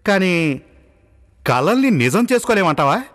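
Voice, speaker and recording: Bright, high-pitched excitable brother. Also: male voice, unhurried, heavily acted. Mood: eager. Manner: exclamatory, sarcastic, exaggerated. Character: poor, excitable, mocking, gullible younger brother. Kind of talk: exaggerated cartoon dialogue acting. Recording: long hall-like reverb, music behind.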